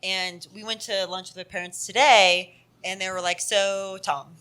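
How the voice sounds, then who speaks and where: Audience lady telling her story on stage, bright clear voice, kinda mic-shy. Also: medium pitch, fairly even intonation, a little slow. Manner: chatty, apologetic, not used to mics. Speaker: audience member asking advice. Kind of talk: live on-stage conversation. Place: live venue stage with audience. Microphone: handheld microphone.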